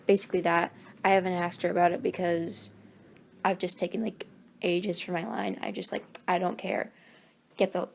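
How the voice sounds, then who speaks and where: A woman's voice: mid-range voice, creaky, a little mellow. A woman chatting casually in a rambling, self-deprecating vlog, her voice a little groggy. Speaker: hobby voice actor, channel owner.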